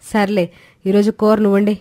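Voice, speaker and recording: Woman doing a scolding mother-in-law, bossy, sharp-tongued, older woman. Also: medium pitch, completely flat delivery, a little fast, lightly acted. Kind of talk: Telugu storybook voice acting.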